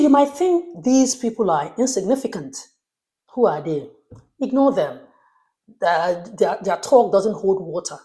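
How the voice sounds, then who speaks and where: A woman's voice: medium pitch, warm tone. A woman with a Nigerian accent hosting an opinion commentary, emphatic and indignant, full of rhetorical questions. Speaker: commentary show host.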